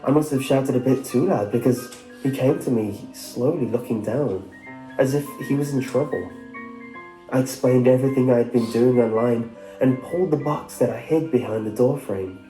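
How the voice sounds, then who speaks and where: A man's voice: mid-range voice, moderate pace, warm tone. Man narrating a story over music, roomy echo. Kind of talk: scripted first-person story narration. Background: background music.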